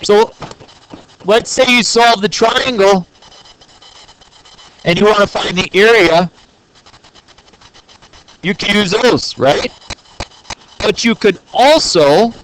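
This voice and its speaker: A man's voice: high voice, slow pace, long pauses, fairly bright tone, a little hiss or hum, low-quality recording. A man teaching a math lesson to his class, joking and playful, talking straight to the students. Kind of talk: classroom lesson recorded on video.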